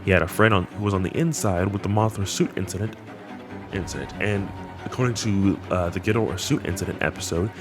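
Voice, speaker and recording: A man's voice: sits low, brisk. A man giving casual, enthusiastic commentary on a horror web series, with slangy wording. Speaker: video host. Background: background music.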